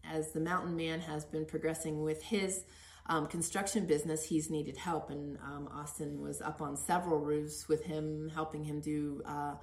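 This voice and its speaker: A middle-aged woman with a somewhat low voice hosts a casual conversation. She talks in an encouraging way, and the room adds a clear echo around her words. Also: flat delivery, normal speed, few pauses. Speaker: podcast host interviewing her son.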